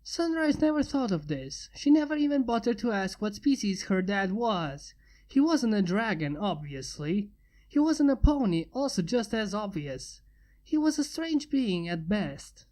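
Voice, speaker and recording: A woman reading fan fiction aloud in a steady storybook narration. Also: medium pitch, measured pace. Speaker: fanfiction reader.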